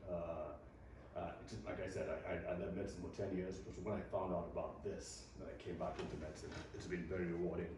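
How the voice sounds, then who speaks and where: A man from Nigeria, a doctor giving a live talk to an audience, speaking in a relaxed way, often repeating words and chuckling. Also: deep voice, quick pace. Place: large echoey hall. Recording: echoey hall with distant mic and noise.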